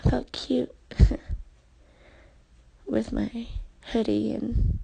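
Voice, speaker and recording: A woman telling personal memories in an intimate, halting, confessional way, her breathy voice often thinning toward a near-whisper. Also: on the high side, flat delivery, dark tone, rough recording. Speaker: personal storyteller addressing a former partner. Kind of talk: intimate spoken memoir, unscripted.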